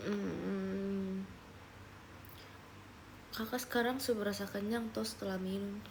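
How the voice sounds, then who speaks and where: A woman's voice: mid-range voice, unhurried, background noise. A young woman from Papua, Indonesia, chatting casually on a livestream, answering viewers and slipping English phrases into her talk. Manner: relaxed, chatty, answers questions as she reads them. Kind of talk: casual live chat reading viewer comments.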